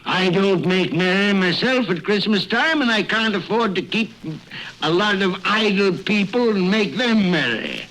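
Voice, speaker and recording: A man's voice: sits high, lively intonation, unhurried, fairly bright tone. An actor playing a cold-hearted, miserly old businessman, curt and scornful, in radio drama style. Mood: cold, dismissive. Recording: noisy vintage radio recording.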